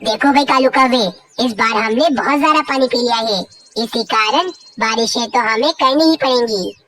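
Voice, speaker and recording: A woman voicing a matter-of-fact talking rain cloud that gives warnings in a children's cartoon, measured and announcing. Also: a little high, distant mic, noisy background, close to an everyday voice.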